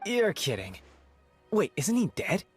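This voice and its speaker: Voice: medium pitch, wildly swooping pitch, unhurried. An actor playing an opinionated, boastful townsperson in a video game, chatty and emphatic. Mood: surprised. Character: opinionated, boastful townsperson, loyal and forgetful gossip. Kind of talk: video game character acting. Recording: music behind the voice.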